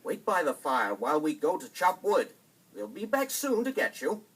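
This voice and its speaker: A man voicing a cruel storybook stepmother with a sharp, scolding, commanding manner. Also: on the high side, huge pitch swings, normal speed, slightly rough, distant mic, voice pushed up, full character voice. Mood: falsely reassuring. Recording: vinyl storybook record with music behind.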